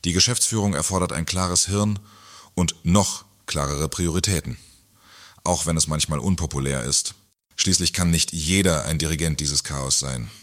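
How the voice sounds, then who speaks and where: An actor playing a pompous, irritable, controlling company director in a satirical radio play. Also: male voice, a bass voice, normal speed, a bit bright. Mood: smug, imperious. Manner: pompous, self-important. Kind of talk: German radio play acting.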